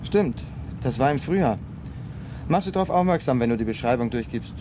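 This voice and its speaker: A man plays a businesslike patrol constable in a 1970s radio crime play. His voice is mid-pitched and matter-of-fact. Car noise is clearly audible behind it. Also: expressive, a little fast, natural voice. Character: businesslike male patrol constable, brisk colleague. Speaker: actor in radio drama.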